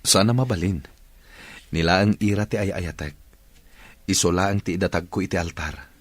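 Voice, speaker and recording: Devoted young ilocano son in a radio drama, lowish voice, respectful, really dry close sound. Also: male voice, normal speed, no room sound. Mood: earnest. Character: devoted, respectful young adult son, earnest about love.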